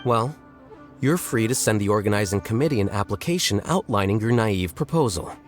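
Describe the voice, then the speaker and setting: A man's voice: lowish voice, animated, a little fast, soft background music, lightly acted. A man voicing an aloof, sardonic scholar presiding over a contest in a video game, dry and formal. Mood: dry sarcasm. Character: aloof young male scholar-judge, dry, sardonic, unyielding.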